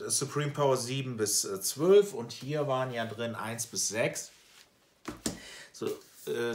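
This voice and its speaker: A middle-aged man, chatting casually and haltingly to camera as a video host. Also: lowish voice. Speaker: comic collector and video host. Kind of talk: casual chat to camera, showing purchases. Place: small room at home with shelves. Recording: roomy, echoing indoor sound.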